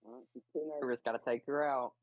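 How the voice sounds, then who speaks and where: A husky-voiced player chatting casually and insistently in a roleplay game over a voice call. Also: mid-range voice, fairly even intonation, fast talker, dry recording. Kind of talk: casual gaming chat. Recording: dull, dry voice-chat audio.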